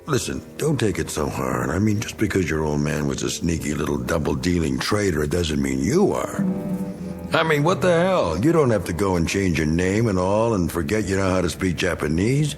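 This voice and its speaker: A middle-aged American war veteran with a low voice, full of bluster and self-pity. He needles and rants in slangy tough talk, like a bitter, boozy bigot in a radio play. Faint music runs underneath. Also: lively intonation, moderate pace, creaky. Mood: defensive. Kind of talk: dramatic radio play acting.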